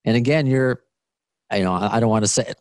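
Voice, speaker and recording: A man's voice: mid-range voice, brisk, darkish tone, no echo, studio quality. Chatty podcast host in his thirties. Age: 30s. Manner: chatty, laughs often, trails off and restarts. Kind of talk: casual interview.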